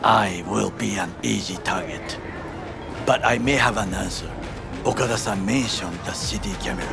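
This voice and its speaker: Strict older japanese bodyguard in a game, deep gravelly voice, very formal, music behind. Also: male voice. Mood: focused, commanding. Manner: formal, clipped, uncontracted phrasing. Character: stern, formal, disciplined older Japanese bodyguard plotting covertly. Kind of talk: video game cutscene acting.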